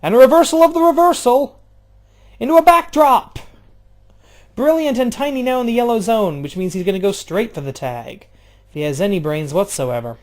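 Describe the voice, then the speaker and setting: A man doing casual play-by-play of a video game wrestling match, jumping between loud outbursts and low, offhand, swearing asides. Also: lively intonation, moderate pace. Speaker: play-by-play commentator.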